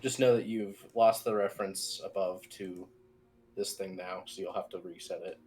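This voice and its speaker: A man's voice: low voice, fairly even intonation, normal speed, a little mellow, faint music behind. A man giving an informal technical tutorial as team lead, explaining things in a loose, rambling way. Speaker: software team lead, instructor.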